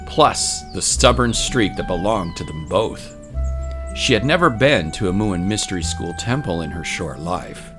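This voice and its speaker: A man narrating an audio drama in measured, literary storytelling phrases. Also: sits low.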